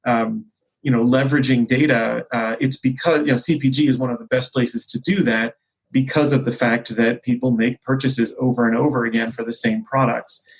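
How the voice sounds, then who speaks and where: A man speaking as an executive guest on a podcast, explaining things in a conversational, discursive and measured way. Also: medium pitch, steady tone, slightly rough, poor audio.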